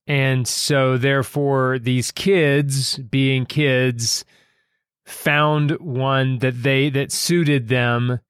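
Slow, deliberate man hosting a conversation. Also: mid-range voice, little pitch movement, fairly bright tone, clear, resonant tone, dry recording, clean studio sound. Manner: thoughtful, halting, pausing mid-sentence. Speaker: podcast host and fiction writer. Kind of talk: reflective conversation about a story.

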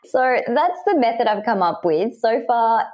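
A woman's voice: fairly high pitch, big pitch swings, a little slow, darkish tone. A young woman with an Australian accent, chatting as a podcast guest and telling her story in an upbeat way, laughing often. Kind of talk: casual podcast interview.

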